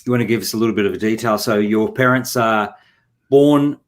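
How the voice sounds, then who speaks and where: A man hosting an interview, asking halting questions and correcting himself often. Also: on the low side. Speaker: radio show host.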